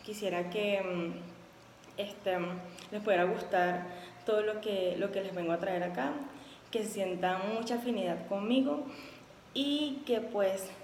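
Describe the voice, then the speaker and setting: A woman's voice: mid-range voice, steady tone, a little slow, darkish tone. Chatty young Venezuelan woman, echoey room. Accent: Venezuelan Spanish. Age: 20s. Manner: chatty and upbeat, with many fillers. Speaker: contest applicant. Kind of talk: casual self-introduction video. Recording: echoey room with faint background noise.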